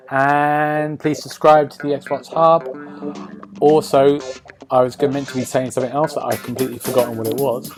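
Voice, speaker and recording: A man hosting a podcast, chatting casually about games and television in a rambling, enthusiastic way. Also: mid-range voice. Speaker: podcast host.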